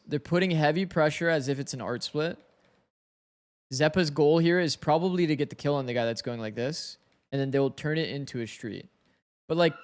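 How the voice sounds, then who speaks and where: Male streamer, slightly raspy, a touch high, chatty analyst who thinks out loud. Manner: talkative, thinks aloud, chats with viewers. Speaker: streamer co-streaming an esports match. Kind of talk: casual match analysis.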